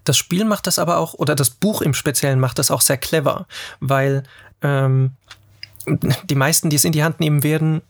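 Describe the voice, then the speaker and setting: Gravelly dude in his 40s, bit high voice, lots of umms, dry close sound. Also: no room sound. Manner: hesitant, many filler sounds. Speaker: podcast panelist. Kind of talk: casual discussion.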